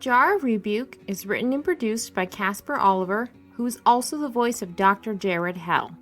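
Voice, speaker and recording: A woman's voice: medium pitch, expressive, normal speed, fairly bright tone. A woman reading scripted end credits for a podcast as an announcer. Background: music.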